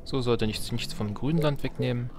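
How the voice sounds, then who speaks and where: A man's voice: mid-range voice, brisk, background noise. A man giving casual gaming commentary, talking to himself in a playful, sarcastic manner. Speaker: let's player.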